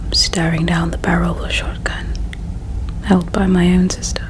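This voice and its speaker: A woman performing a whispered ASMR roleplay as a regretful, solemn alien princess, with a breathy, hushed, halting delivery. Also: lowish voice, little pitch movement. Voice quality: breathy whisper with soft creak. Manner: slow, halting, hushed storytelling.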